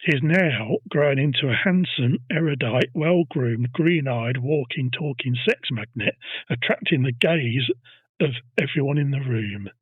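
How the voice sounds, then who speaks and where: A man's voice: mid-range voice, a little slow, breathy edge, dry recording, phone-quality sound. A man hosting a casual history podcast, telling a story and teasing his co-host.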